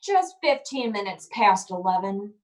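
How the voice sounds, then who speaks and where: A woman's voice: medium pitch, sing-song, unhurried, a little hiss or hum. A woman playing an unruffled, formal telephone operator, speaking with detached politeness in old-time radio drama style. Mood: detached, polite.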